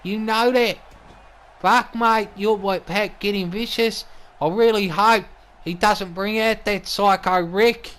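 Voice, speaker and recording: Man playing a high, whiny Australian wrestling manager. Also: steady tone, slow pace, a bit bright. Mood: excited. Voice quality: whiny, lacking bass. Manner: mouthy, sweary, defensive. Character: whiny, mouthy skinny wrestling manager and commentator. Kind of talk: wrestling commentary with in-character banter.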